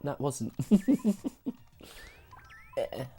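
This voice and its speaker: Casting: woman game streamer, low mellow husky voice as if getting over sickness, casual and apologetic, music behind. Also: very animated, very slow. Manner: self-deprecating, apologetic, giggly. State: recovering from sickness. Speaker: live streamer playing retro games. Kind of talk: casual live-stream gaming chat.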